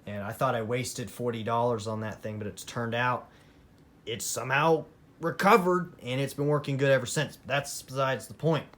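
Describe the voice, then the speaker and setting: A man's voice: mid-range voice, steady tone, normal speed, a bit bright. A man giving a casual, rambling product review in a matter-of-fact, opinionated way, as a hobbyist showing a collection. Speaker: hobbyist collector presenting weather radios.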